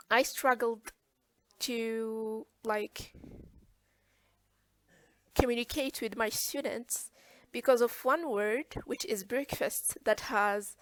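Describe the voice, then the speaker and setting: A woman's voice: fairly high pitch, dry recording. A woman from eastern Algeria with an Algerian accent, hosting a webinar conversation as moderator and English teacher. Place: online video call.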